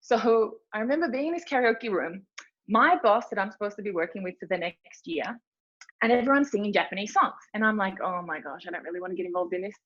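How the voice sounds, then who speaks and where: A woman's voice: medium pitch, fast talker, fairly bright tone. An Australian woman giving a chatty, informal webinar presentation, telling stories with lots of fillers. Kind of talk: casual presentation talk. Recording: dull lo-fi webinar audio.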